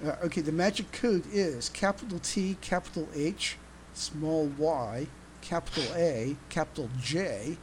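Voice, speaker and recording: A podcast host chatting casually about fandom, halting and searching for words on an amateur recording. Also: medium pitch, slight background noise. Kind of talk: casual chat about pop idol fandom.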